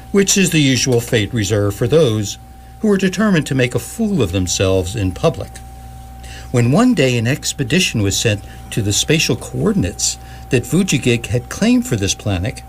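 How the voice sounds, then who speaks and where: A man playing a knowing guidebook narrator in live radio theatre, with a wry, measured, theatrical delivery. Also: mid-range voice. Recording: noisy live stage recording with hum.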